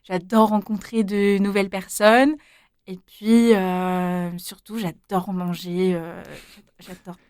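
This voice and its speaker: A woman's voice: mid-range voice, flat delivery, slow, a little mellow, clean tone, no echo. Young woman chatting in an interview, lots of ums, laughs a lot, dry close sound. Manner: hesitant, many fillers, laughs often. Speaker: interviewee, volunteer abroad. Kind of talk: casual interview.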